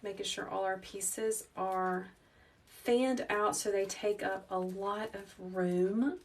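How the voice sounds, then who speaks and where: A woman in her thirties talks through a live craft tutorial in a calm, soft, teacherly voice, chatting with her viewers. She stands in a small indoor workroom, a little back from the phone mic. A faint background noise runs under her voice. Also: medium pitch, takes it slowly, far from the mic. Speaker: wreath-making livestream host.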